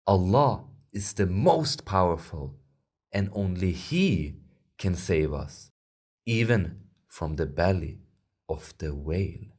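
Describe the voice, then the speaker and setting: A man narrating a children's storybook as a storyteller, in an expressive, measured way. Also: on the low side.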